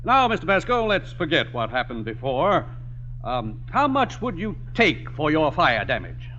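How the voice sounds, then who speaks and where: A man's voice: fairly high pitch, huge pitch swings, normal speed, bright, forceful tone, full character voice. An actor playing an officious insurance claims man in a radio comedy, with a formal, businesslike, emphatic delivery. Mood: formal, conciliatory. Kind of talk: 1950s radio comedy acting. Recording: muffled, hissy 1950s radio recording.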